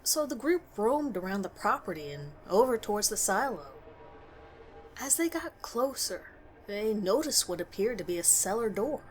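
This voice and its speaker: A woman's voice: mid-range voice, very animated, normal speed. Woman narrating a horror story, noisy recording. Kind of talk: scripted first-person horror story narration.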